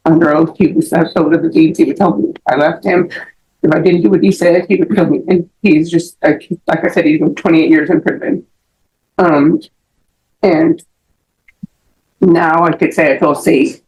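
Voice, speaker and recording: A middle-aged American woman giving testimony as a defendant in court, rambling and halting as she repeats herself. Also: on the low side, steady tone, a little mellow, poor audio. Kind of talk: spoken testimony answering questions.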